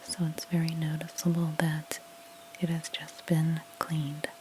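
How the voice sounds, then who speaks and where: A woman speaking in a soft, breathy near-whisper, gently explaining each step in an ASMR roleplay narration. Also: low-pitched, monotone, a little slow, a little hiss or hum, poor audio. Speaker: ASMR creator playing a house cleaner.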